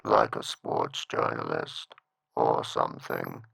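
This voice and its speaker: An actor playing a sinister villainous doctor in a comedy sci-fi radio play, with a very deep, gravelly voice. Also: male voice, slow pace, fairly bright tone, no room sound, exaggerated voice. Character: sinister slow-talking villainous doctor in league with schemers.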